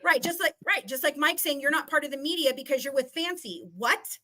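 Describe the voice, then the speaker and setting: A woman's voice: high voice, brisk, pushed and bright. A woman giving heated, combative commentary in a casual live chat, repeating phrases for emphasis. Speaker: guest.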